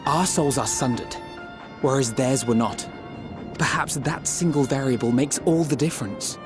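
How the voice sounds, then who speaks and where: An actor playing a thoughtful scholar who reasons through a theory in a measured, analytical way in a game cutscene. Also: male voice, medium pitch, close to an everyday voice. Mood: pensive. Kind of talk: video game cutscene acting. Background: orchestral music.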